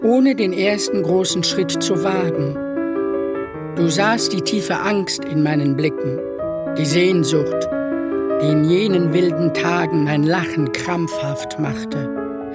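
A woman's voice: on the low side, takes it slowly. A woman reciting rhymed poetry in the style of a literary reading. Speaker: poetry reader. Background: prominent piano music.

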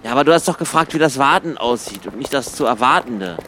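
A man's voice: mid-range voice, lively intonation, fast, sharp tone. A man playing a chatty, optimistic sidekick in a radio play, reasoning things out in slangy colloquial speech on a noisy recording. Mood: explanatory. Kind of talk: loose radio play dialogue.

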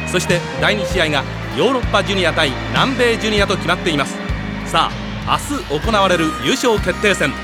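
An actor playing an excitable cartoon soccer stadium announcer, calling the match with dramatic emphasis. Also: male voice, high voice. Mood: eager anticipation. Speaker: sports play-by-play commentator. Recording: loud music behind the voice.

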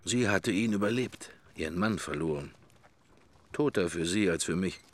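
A man's voice: low voice, normal speed. An actor playing a pensive middle-aged man who thinks to himself in clipped, wry fragments, in a radio drama interior monologue. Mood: sombre musing.

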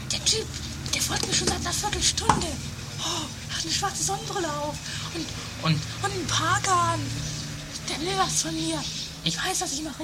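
A woman's voice: extremely high pitch, heavy background noise. A woman acting a fretful, quarrelsome woman in an improvised radio comedy, with melodramatic complaining. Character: shrill, fretful, quarrelsome woman of a bickering family. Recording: amateur 1980s radio play with loud music.

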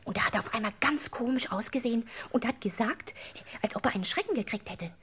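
A woman's voice: on the high side, fairly quick, fairly bright tone, a little hiss or hum, natural voice. A female performer playing an eager, adoring little girl in a German crime radio play, earnest and childlike. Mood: earnest, secretive.

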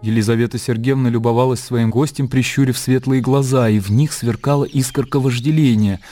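A man's voice: medium pitch, fairly even intonation, a little fast, mellow. A man narrating a literary radio drama from a script. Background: music.

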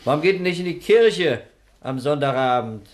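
An actor playing a gruff, unflappable police inspector in a 1960s German radio crime drama. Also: male voice, fairly high pitch, lively intonation, normal speed, close to an everyday voice. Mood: exasperated.

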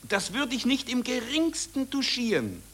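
An actor playing a callous, eager war press photographer who questions pushily in rhyme in a satirical radio play. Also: male voice, very high voice, wildly swooping pitch, a little slow, fairly bright tone, slightly rough, exaggerated voice. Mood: self-important. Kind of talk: satirical radio drama in verse.

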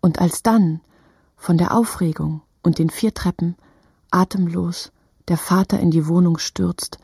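A woman narrates a literary radio play. Her voice is very husky, dark and a little low, recorded dry. She phrases in halting fragments. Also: no echo. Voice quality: husky, breathy.

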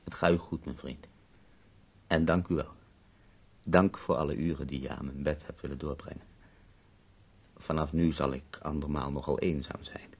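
Very deep, creaky, dying poet. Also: male voice, steady tone, moderate pace, long pauses, heavily acted. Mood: weary, bitter. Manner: wry, weary, self-mocking. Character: ailing, bitterly ironic, bedridden male poet. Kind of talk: radio drama acting.

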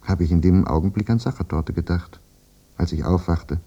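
An actor playing a haunted, war-maimed soldier who recalls the war in a reflective, self-questioning radio drama monologue. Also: male voice, sits low, moderate pace, mellow, husky. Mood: brooding, wondering. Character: war-maimed soldier brooding over his memories, haunted, plain-spoken. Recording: muffled radio play recording with music. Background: music.

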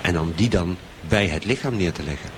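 Man acting a low-voiced scheming crime writer. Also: fairly quick, background noise, close to an everyday voice. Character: scheming male detective writer, persistent and calmly menacing. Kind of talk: improvised radio drama acting.

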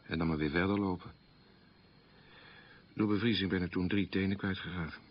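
A man's voice: low-pitched, steady tone, normal speed, dull audio. An actor playing a proud, wounded man recalling a humiliating wartime childhood in a restrained radio monologue. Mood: bitter recollection. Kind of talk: radio drama monologue, confessional storytelling.

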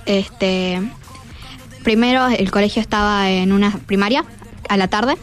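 A woman's voice: medium pitch, a little slow. Hesitant teenage Argentine girl on radio. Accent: Argentine, Buenos Aires. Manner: hesitant, searching for words. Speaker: student guest. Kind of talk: informal spoken answers in an interview. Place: radio studio. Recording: music playing behind.

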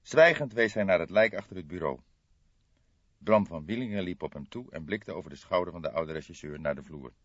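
Low-pitched man narrating crime fiction. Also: animated, fairly quick, fairly bright tone, slightly rough, no echo. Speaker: audiobook narrator. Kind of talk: dramatic scripted crime narration. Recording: slightly dull audio.

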